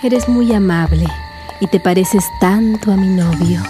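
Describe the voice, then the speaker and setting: A woman's voice: mid-range voice, measured pace, natural voice. Woman acting a wistful, mysterious young woman. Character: pale, mysterious, melancholy young woman, gently evasive. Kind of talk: mystery radio play acting. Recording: music bed behind the voice.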